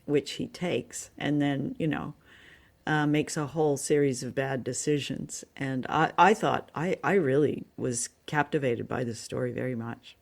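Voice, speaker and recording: A woman's voice: extremely low pitch, measured pace, vocal fry. An older woman co-hosts a conversational review show. She talks enthusiastically in an unforced, chatty way. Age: 60s or older. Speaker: podcast co-host. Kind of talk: conversational review of old radio drama.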